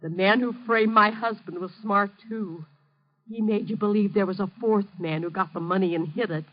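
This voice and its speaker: An actress playing a bitter, vengeful widow with intense, theatrical delivery in a 1950s radio crime drama. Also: female voice, mid-range voice, normal speed, lightly acted. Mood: taunting. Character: bitter vengeful widow holding men at gunpoint. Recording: dull vintage radio broadcast recording.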